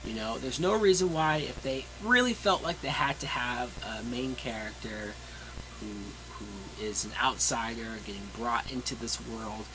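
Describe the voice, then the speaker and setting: A man's voice: mid-range voice, moderate pace, music in the background, poor audio. A man reviewing a movie in a casual, opinionated way, with emphatic, blunt and sweary delivery. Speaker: film reviewer.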